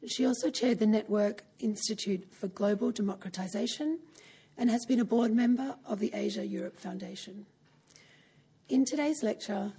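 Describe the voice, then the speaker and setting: Husky-voiced woman reading a formal introduction. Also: mid-range voice, dark tone. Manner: reads smoothly from a script. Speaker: event host introducing speaker.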